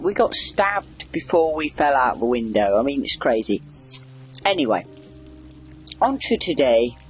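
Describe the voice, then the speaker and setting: Male let's-play commentator, slightly high husky voice, wry off-the-cuff chatter over soft background music. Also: rough recording. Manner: wry, chatty, reacting aloud to the game. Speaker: gamer doing a video game playthrough. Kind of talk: casual rambling game commentary.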